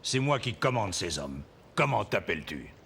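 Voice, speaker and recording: A man playing a calm, courteous colonial commander in a dubbed cartoon, speaking politely and with assurance. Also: on the low side, moderate pace, vocal fry, a little hiss or hum, full character voice. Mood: calm, commanding. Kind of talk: dubbed animated film acting.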